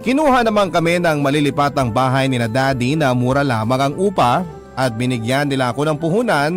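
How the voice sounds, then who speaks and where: A man with a Filipino accent narrating a personal story in the style of a radio drama storyteller. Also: on the high side. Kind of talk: dramatic narration of a listener's letter. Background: music under the voice.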